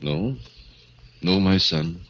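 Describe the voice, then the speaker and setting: An actor playing a solemn, gentle older prison priest in 1940s radio drama style, speaking gravely. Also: male voice, sits low, takes it slowly. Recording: muffled, noisy old radio recording.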